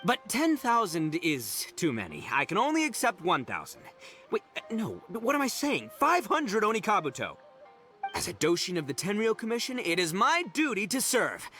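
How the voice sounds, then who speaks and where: Loud, wildly animated young goofball. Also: male voice, sits high, normal speed, soft background music, full character voice. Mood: boastful, hesitant. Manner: bumbling, brash, stammering over big words. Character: boisterous, gullible, big-hearted young man playing a lawman. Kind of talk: exaggerated video game voice acting.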